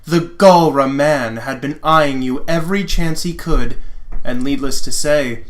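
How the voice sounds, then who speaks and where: A man's voice: a little high, unhurried. A man with a wry, self-mocking manner, reading fan fiction aloud as host with informal commentary and dramatic narration.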